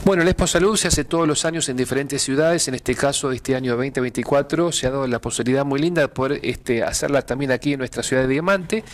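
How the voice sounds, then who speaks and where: A man's voice: mid-range voice, fast, few pauses. A middle-aged man with an Argentine accent, a pastor being interviewed in a TV studio, speaking hesitantly with many fillers and repeated words. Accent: Argentine Spanish. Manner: gestures with hands while explaining. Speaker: pastor and event organizer. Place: TV studio with dark curtains.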